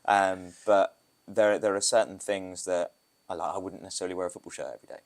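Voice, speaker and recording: British man, very low voice, casual rambling podcast guest, photographer. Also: steady tone, fast. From Britain. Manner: loose, rambling, with restarts and asides. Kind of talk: casual interview talk.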